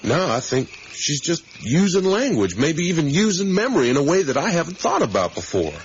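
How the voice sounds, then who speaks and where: A man's voice: a little high, very animated, brisk. An actor playing an eager middle-aged academic father who rambles and reflects emphatically, in a naturalistic radio drama style. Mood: musing, animated. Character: musing, eager academic father on a motorcycle trip with his son. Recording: dull, noisy 1980s radio recording.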